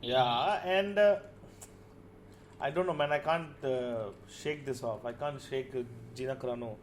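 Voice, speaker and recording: A man on a podcast panel talking casually in a group discussion, halting and repeating himself to get his point in. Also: mid-range voice. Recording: clearly noisy voice chat recording.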